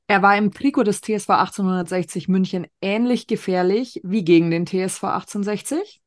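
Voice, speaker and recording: A woman co-hosting a casual podcast in a voice of medium pitch. She reads out quiz hints slowly and deliberately, and her sound is close and dry. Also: no echo. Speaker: podcast co-host.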